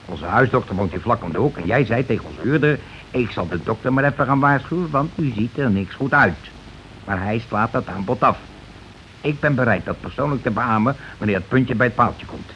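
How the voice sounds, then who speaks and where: A scheming working-class landlord, played by a male actor, blustering and insistent, with a very animated pitch. He keeps repeating himself in colloquial bursts, in radio drama style. The old recording is dull, with some background noise. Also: a little fast, lightly acted. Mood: scheming, persuasive. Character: scheming, domineering, self-justifying working-class husband and landlord. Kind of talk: Dutch radio play acting.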